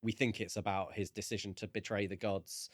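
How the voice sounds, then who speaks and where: A man with a fairly low voice, recorded very dry, co-hosts a book podcast. He talks casually, feeling his way through each point in halting, considered phrases. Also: level tone, no room sound. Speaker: podcast co-host.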